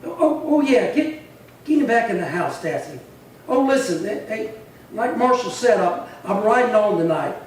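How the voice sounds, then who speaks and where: High-voiced, rough Western cowboy played by a man. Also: animated, moderate pace. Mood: subdued, resigned. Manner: rough folksy cowboy dialect. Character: hot-headed, proud, feuding Old West cowboy. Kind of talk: Western radio drama acting. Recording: echoey hall, distant mic, faint noise.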